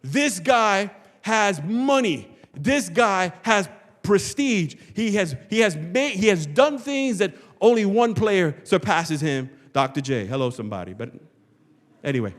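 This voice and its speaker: A man with a slightly high voice preaches a sermon as a pastor. He is emphatic and rhetorical, repeating phrases for weight. His words ring with long reverb in a big church hall. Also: big pitch swings, measured pace. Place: large church hall, before a congregation.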